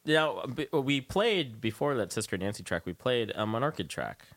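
A man's voice: medium pitch, expressive, normal speed, a bit bright, no room sound. A man hosting a radio show, chatting casually and jokingly as he interviews a guest. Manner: joking, conversational.

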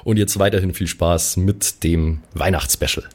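Low-voiced man hosting a podcast. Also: fairly even intonation, moderate pace, darkish tone. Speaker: podcast host. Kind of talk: scripted-sounding announcement to listeners.

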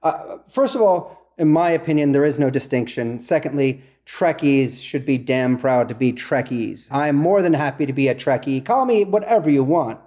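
A man speaks as guest host in relaxed podcast talk, tripping over his openings and repeating words before he lands his point. His voice sits at an ordinary middle pitch. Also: moderate pace, few pauses. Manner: stammering, repeats words as he starts. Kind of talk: casual podcast chat.

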